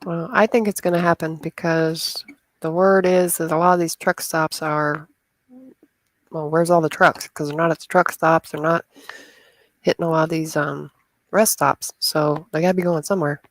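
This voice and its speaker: Lowish female voice, chatty and playful, dry close sound. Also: steady tone, normal speed, no echo. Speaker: co-host. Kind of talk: casual online chat.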